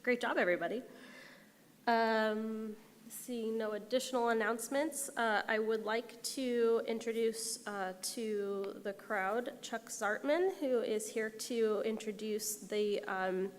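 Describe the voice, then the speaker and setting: A woman's voice: on the high side, fairly even intonation, takes it slowly. A woman serving as school board president, chairing a public meeting. She is upbeat and encouraging, with frequent "uh" and "um" between agenda items. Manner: filler-heavy, upbeat and encouraging. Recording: reverberant hall, faint room noise.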